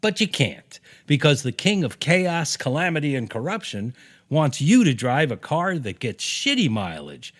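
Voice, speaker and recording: A man's voice: a little high, very animated, normal speed, fairly bright tone. An American man reading his own opinion piece aloud in a punchy, sarcastic, salty-tongued way, as a podcast host.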